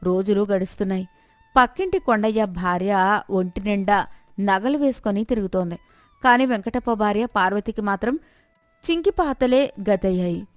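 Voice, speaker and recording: A woman's voice: medium pitch, normal speed, dry recording, soft background music. A woman narrating a scripted moral story as a storyteller.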